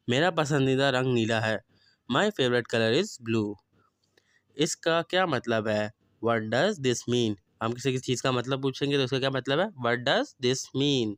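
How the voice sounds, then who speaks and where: A male English teacher walks through everyday phrases, giving each one in first. His voice is smooth and clear and sits at a middle pitch. The sound is dry and close, with the English words drawn out slowly. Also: fairly bright tone, dry recording. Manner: explains each phrase in Urdu, then says the English slowly and deliberately. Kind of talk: spoken language lesson.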